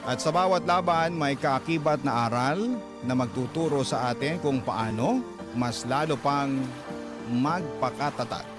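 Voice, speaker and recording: A man's voice: medium pitch, measured pace, over music. A man hosting a radio show, reading listeners' letters as dramatic, earnest, sentimental narration.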